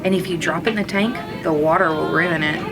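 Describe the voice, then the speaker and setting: A woman's voice: lowish voice, brisk, over music, crowd noise, lightly acted. A woman voicing a small, wry but encouraging male pet frog in a children's storybook, with a bouncy, exclaiming delivery. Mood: puzzled, exasperated.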